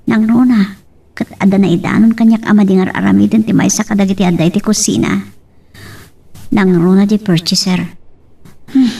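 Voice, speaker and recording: A woman's voice: mid-range voice, creaky, dark tone, breathy edge. A young woman with a Filipino accent playing a lovestruck, headstrong daughter in a radio-style drama. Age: young adult. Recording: distant microphone.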